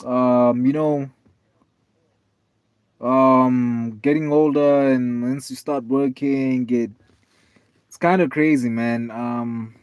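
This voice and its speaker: A man in his twenties, tired, chatting casually with viewers on a live stream in a relaxed, rambling way with lots of fillers. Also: mid-range voice, takes it slowly, long pauses, smooth, no room sound, lo-fi sound. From South Africa. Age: 20s. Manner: laid-back, rambling, many fillers, calls viewers man and bro.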